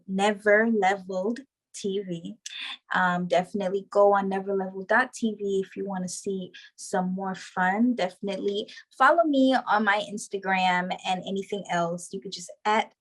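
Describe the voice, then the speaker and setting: A young woman chats freely as an interview guest, her voice sitting at a middle pitch. She talks loosely and slangily, filling her sentences with "like" and easy laughter. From Trinidadian background. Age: young adult. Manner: chatty, slangy, full of "like" and laughs. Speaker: guest, show creator. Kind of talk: casual interview.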